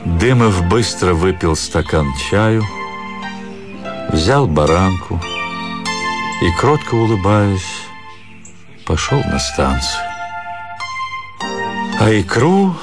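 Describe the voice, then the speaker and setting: A man narrating a literary story as part of a radio drama, in a scripted storytelling style. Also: low voice. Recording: violin music behind the narration. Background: violin music.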